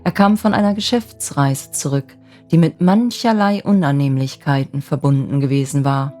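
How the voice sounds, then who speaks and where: A woman with a soft, gentle voice narrating a fairy tale as an expressive bedtime story. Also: sits low, moderate pace, faint music behind.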